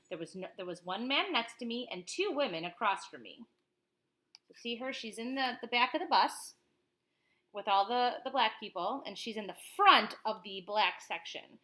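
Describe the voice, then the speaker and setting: A woman reading a picture book aloud to children expressively, pausing to explain things simply to young listeners. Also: medium pitch, sharp tone.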